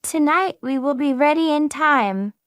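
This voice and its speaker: Woman reading a high-voiced friendly speaker, slow careful delivery, dry close mic, beginner English lesson. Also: lively intonation, a bit bright, no echo. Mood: plain. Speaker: dialogue partner in English practice. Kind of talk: slow scripted practice dialogue.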